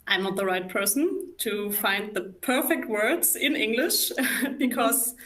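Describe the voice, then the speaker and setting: A woman's voice: a little high, animated, takes it slowly, fairly bright tone. A woman speaking English with a German accent, talking as a guest in a live conversation, thoughtful and hesitant with frequent fillers. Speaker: guest, former psychiatry worker.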